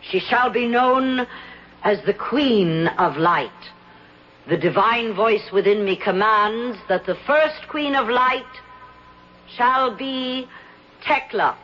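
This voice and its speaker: A woman's voice: fairly high pitch, slow pace, lo-fi sound, a little hiss or hum. An actress playing a young woman who poses as a commanding queen in a 1970s radio drama. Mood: solemn. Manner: regal, commanding.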